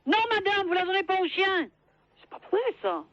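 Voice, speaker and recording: A woman's voice: extremely high pitch, steady tone, normal speed, gravelly, no room sound. A polite, earnest woman in a spontaneous telephone conversation, heard over a phone line. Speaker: prank call recipient at a carpentry business.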